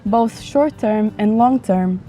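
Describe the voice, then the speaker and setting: A woman in her twenties from Portugal, chatting frankly in a casual vlog, her voice a bit raspy from a dry throat, recorded outdoors near a highway. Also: slow pace, dark tone, poor audio. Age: 20s. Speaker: vlogger. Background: passing motorcycles and traffic.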